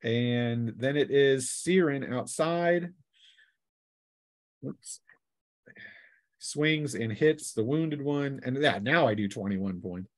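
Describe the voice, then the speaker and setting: A man's voice: mid-range voice, takes it slowly, pauses often. A man running a tabletop role-playing session as game master in a casual, playful manner. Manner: playful, chuckling, acts out sound effects and shouts.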